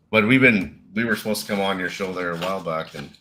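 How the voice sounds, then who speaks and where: A man with a Minnesota accent and a very deep voice talks whiskey on a casual live stream. He rambles, laughs easily and keeps clearing his throat. A faint hiss runs under the audio. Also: fairly bright tone. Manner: laid-back, rambling, laughs often. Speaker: whiskey show host.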